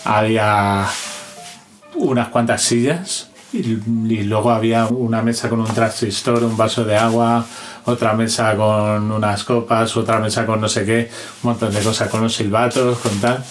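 A man's voice: on the low side, steady tone, measured pace, faint music behind. A man with an accent from Spain telling anecdotes casually and colloquially, checking in with little tag questions and acting out what people said. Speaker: interview guest, sound and music analyst.

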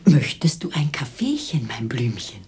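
An older woman playing a cooing, pious, boastful fortune-teller and former madam in a radio drama, with a theatrical, husky delivery. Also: very deep voice, sing-song, moderate pace, a little mellow, a little hiss or hum. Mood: cooing, welcoming.

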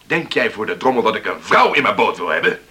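A very sharp, forceful skipper in a radio play. He is gruff and stubborn, with a blunt delivery that swings widely in pitch. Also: very animated, fast. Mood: indignant. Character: gruff, stubborn lifeboat skipper, grudgingly good-hearted. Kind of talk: Dutch radio drama acting.

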